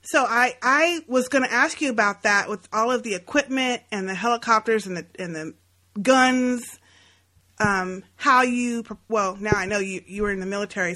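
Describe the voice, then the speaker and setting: A woman's voice: mid-range voice, fairly quick, bright, forceful tone. A woman co-hosting a podcast interview, chatting casually in a blunt, slangy, informal way. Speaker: co-host interviewer.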